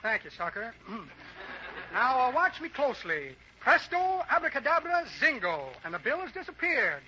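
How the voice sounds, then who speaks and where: Pompous, piercingly bright radio con man. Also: very high voice, very animated, normal speed, full character voice. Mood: grandly showy. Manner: pompous, florid patter, muttering to himself. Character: boastful, shifty male con man and amateur magician. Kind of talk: 1940s American radio comedy acting. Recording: muffled, hissy old-time radio recording.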